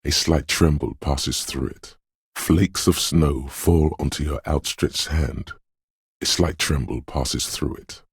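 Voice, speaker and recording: An actor playing a brooding, dreamlike inner voice of intuition in a video game, narrating slowly, solemnly and ominously in poetic second person. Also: male voice, a bass voice, breathy edge, no echo, studio quality, heavily acted.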